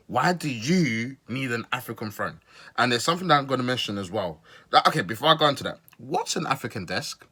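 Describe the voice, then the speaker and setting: A man's voice: medium pitch, pushed and bright, breathy edge. A man from Zimbabwe giving casual reaction commentary as a podcast host, incredulous and questioning, repeating his points for emphasis.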